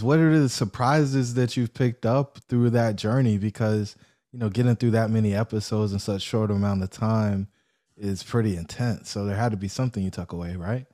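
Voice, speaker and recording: A man's voice: medium pitch, dark tone. A man hosting a podcast, asking encouraging, conversational questions. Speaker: podcast host. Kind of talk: interview questions.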